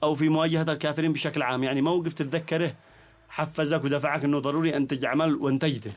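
Male interview host, Yemeni accent, very rapid delivery, slightly higher-than-average pitch. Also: steady tone, faint music behind. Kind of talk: conversational arts interview.

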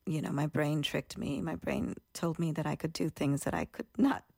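A woman's voice: low voice, moderate pace, vocal fry, a little mellow, slightly rough, no echo. A woman in her thirties, earnestly and reflectively telling her own story as a guest in an interview. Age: 30s.

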